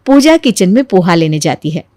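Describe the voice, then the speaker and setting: A woman reading scripted storybook narration for an animated moral tale. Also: fairly low pitch, normal speed, no room sound, studio quality.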